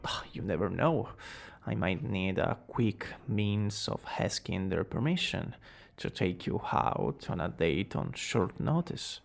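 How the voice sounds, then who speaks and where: Guy doing a smug teasing young villain for asmr romance, slow n drawly, bit of gravel in the voice. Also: deep voice, animated, full character voice.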